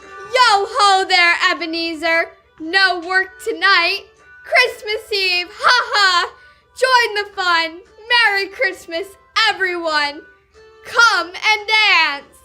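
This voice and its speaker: A woman playing a jolly old merchant in a radio play, hollering drawn-out festive calls. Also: very high voice, bright, forceful tone, full character voice. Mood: merry. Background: music.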